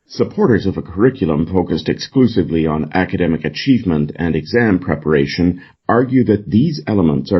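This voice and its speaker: A man reading an exam essay as scripted narration. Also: deep voice. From Canada. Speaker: essay reader. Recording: slightly dull, lo-fi recording.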